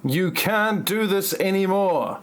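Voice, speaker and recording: An amateur actor, a man playing an awkward, melodramatic character with stilted, halting delivery in a parody audio play. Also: sits high. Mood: pleading.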